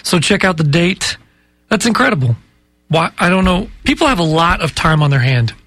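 A man's voice: sits high, very animated, moderate pace, fairly bright tone, no room sound. A man hosting a morning radio show in a studio, chatty and flustered, stumbling over words. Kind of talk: casual morning radio banter. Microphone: studio microphone.

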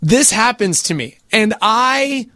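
A man telling an animated story in a casual chat, acting out the scenes with voices and sound effects. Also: sits high, slow, noisy background.

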